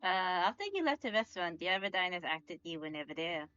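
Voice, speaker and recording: A woman's voice: fairly low pitch, animated, quick pace, bright, forceful tone, clean tone, highs cut off. A woman giving casual live commentary as she streams a game.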